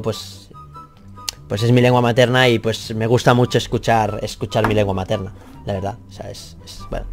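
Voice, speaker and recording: A young man whose first language is Catalan, reading viewer comments from a small office and answering them in a rambling, self-deprecating way, swearing casually. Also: medium pitch. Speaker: math youtuber.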